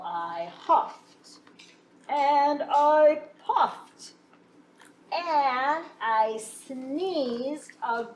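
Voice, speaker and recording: A woman voicing an aggrieved, folksy male wolf who insists he is innocent, in an animated children's storybook read-aloud with drawn-out emphasis. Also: high voice, full character voice. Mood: dramatic storytelling. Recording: echoey room, distant mic, faint noise.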